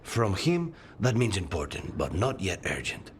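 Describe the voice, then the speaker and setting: An actor playing a guarded, dryly sardonic assassin in a video game, speaking in a deliberate, understated way. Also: male voice, sits low, big pitch swings, slight background noise. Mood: dry, measured. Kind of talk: cinematic video game voice acting.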